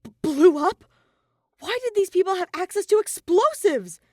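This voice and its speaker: A woman's voice: very high-pitched, expressive, moderate pace, dark tone, no echo, clean studio sound, voice pushed up. A woman voicing an earnest, anxious young male detective in an audio story, wary and easily flustered. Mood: startled, indignant. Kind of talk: fan fiction audio story character voice.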